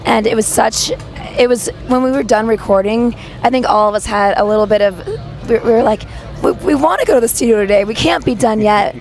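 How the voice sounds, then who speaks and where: Hoarse woman singer, faint music. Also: on the high side, a little fast, darkish tone. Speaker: band singer. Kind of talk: casual interview answers.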